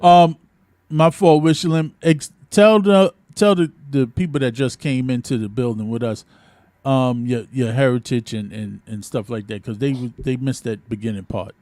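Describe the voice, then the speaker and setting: A man hosting a casual music talk show, hyping up his guests with excited shouts. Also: mid-range voice, moderate pace, no echo. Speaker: show host. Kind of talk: casual chat.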